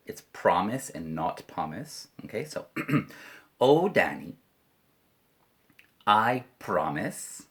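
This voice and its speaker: Deep voiced canadian guy teaching kids english online, slow and bouncy, silly chants. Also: a bit bright. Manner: playful, repeats chants slowly and clearly. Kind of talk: online English lesson for children.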